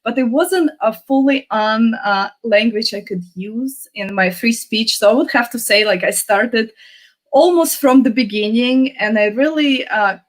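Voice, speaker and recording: A woman's voice: fairly high pitch, a little slow. A woman in her thirties, raised in Russia and speaking English as a second language, chatting upbeat as an interview guest with many fillers. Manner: chatty, upbeat, with frequent "you know" fillers. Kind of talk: casual interview.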